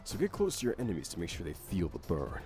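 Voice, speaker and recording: A man's voice: low-pitched, huge pitch swings, quick pace, mellow, faint music behind. A man reading scripted explainer narration for a video game trailer.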